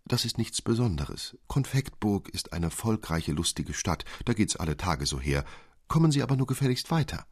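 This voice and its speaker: A man's voice: lowish voice, very animated, moderate pace, slightly rough, no echo, studio quality, voice pushed up, exaggerated voice. A man voicing a small gallant nutcracker prince who explains things courteously in flowery, formal speech, in a storybook reading. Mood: breezy, reassuring.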